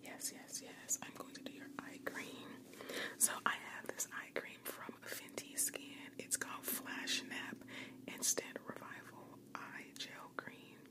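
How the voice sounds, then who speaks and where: A woman playing a quiet, doting best friend with a secret obsession, whispering hesitantly in an ASMR roleplay. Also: a little slow, close to an everyday voice, low-quality recording. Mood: doting, attentive. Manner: soft, hesitant, doting, repeats phrases gently.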